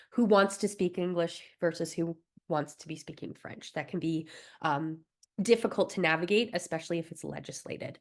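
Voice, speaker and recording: A young woman from Alberta presenting a recorded wellbeing workshop, coaching listeners in an encouraging way with light laughs and fillers. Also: lowish voice. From Alberta, Canada.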